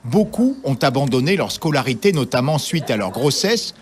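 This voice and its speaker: A man's voice: medium pitch, big pitch swings, moderate pace. A man narrating a scripted television news report as the reporter.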